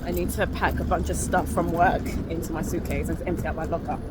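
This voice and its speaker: Woman vlogger, candid rambling talk, phone mic outdoors, clear street noise. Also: sits low, steady tone, brisk. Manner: rambling, candid, self-correcting with small laughs. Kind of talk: casual vlog chat to a phone while walking. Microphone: phone held up to her face. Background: traffic and street ambience.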